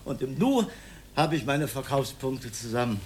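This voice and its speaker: An actor playing a rambling, pedantic old socialist freethinker with an Austrian accent, in a theatrical radio drama style. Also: male voice, mid-range voice, very animated, moderate pace, darkish tone, slight background noise, lightly acted. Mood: dreamy, preaching. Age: older. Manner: rhetorical, declamatory, given to rambling reminiscence.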